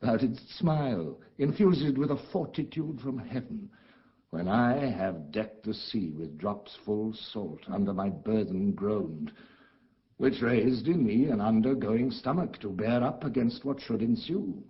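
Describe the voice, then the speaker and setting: Deep, grave, embittered exiled duke. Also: male voice, animated, a little slow, dull audio. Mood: tender. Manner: theatrical, grave, declamatory, with dramatic swells and hushes. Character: embittered, commanding exiled duke, father recounting betrayal. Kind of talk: classical Shakespearean stage acting.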